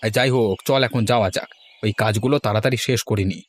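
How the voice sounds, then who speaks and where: A man's voice: lowish voice, fairly even intonation, quick pace, lightly acted. A man voicing a weary, resentful married farmer in a village cartoon, grumbling about his hard lot. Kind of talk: cartoon voice acting.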